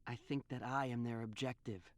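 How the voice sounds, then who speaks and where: A sincere young game hero played by a man, with a mid-pitched voice recorded close and dry. He is earnest, polite and a little troubled. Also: steady tone, fairly quick, no room sound, natural voice. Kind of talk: scripted video game voice acting.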